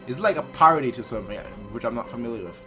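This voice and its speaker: A man's voice: medium pitch, expressive, very fast, pushed and bright, soft background music. A man casually commenting as a reaction video host, repeating himself as he works out his thoughts.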